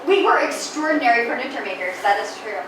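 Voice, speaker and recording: A woman from Manchester playing a brisk, devout character who scolds and organizes in a stage play. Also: fairly high pitch, animated, recorded from a distance, natural voice. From Manchester, England. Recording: echoey stage heard from afar, background noise.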